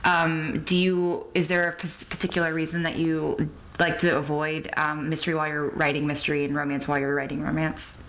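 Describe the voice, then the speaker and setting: Informal, lower-voiced woman podcast host. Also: flat delivery, fairly quick, fairly bright tone. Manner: informal, chatty. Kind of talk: casual interview chat.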